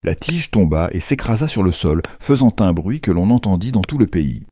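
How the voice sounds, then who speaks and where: A man's voice: sits low, very animated, normal speed, warm tone, breathy edge. A man narrating a children's fairy tale from a script, as a storyteller.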